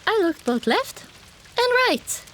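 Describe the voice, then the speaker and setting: A woman narrating a first-person fantasy audiobook in an expressive, dramatic storytelling manner. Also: extremely high pitch, slow pace, slight background noise.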